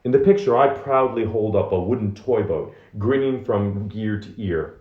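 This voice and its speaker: A man's voice: lowish voice, moderate pace, phone-quality sound. A man reading nonfiction aloud as narration, in a roomy, echoing recording. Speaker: reader of a book excerpt.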